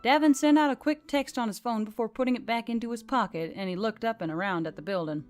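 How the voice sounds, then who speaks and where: A woman's voice: fairly high pitch, fast. A woman reading scripted fantasy fiction as an audiobook narrator. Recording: background music under the reading. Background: music.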